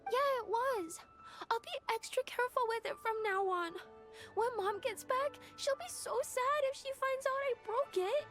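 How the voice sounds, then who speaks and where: A woman's voice: very high voice, moderate pace, a bit bright, soft background music. A woman playing a sweet, hesitant, earnest little girl in a video game. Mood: meek. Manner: hesitant, childlike. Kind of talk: scripted video game voice acting.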